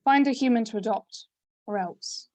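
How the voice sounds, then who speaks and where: A woman playing a high-pitched, fierce fairy boss. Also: moderate pace, a little mellow, natural voice. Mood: stern, commanding. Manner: barking, scolding, commanding. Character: fierce, bossy female fairy union boss. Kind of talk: scripted screenplay table read.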